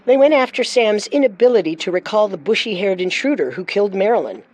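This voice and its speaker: A woman's voice: medium pitch, lively intonation, quick pace, a little mellow. A woman narrating a scripted true-crime story as host.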